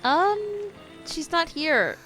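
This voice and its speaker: A woman's voice: high voice, sing-song, very slow, over music. A woman giving casual reaction commentary as she streams a game.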